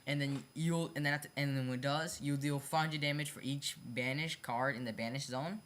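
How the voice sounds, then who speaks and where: Bright-voiced, stumbling card game explainer. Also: medium pitch, steady tone, a little fast. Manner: repetitive, self-correcting, stumbles over phrasing; apologetic about own mistakes. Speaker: hobby card game video creator. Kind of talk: casual off-the-cuff explainer of custom card designs.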